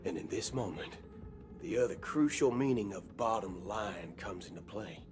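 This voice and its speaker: Guy playing a cowboy gunslinger, wry and cocky, lowish voice, folksy slang, game music under it. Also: natural voice. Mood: drawling. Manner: folksy slang, slow drawling delivery. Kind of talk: video game cutscene acting. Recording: game audio with background music.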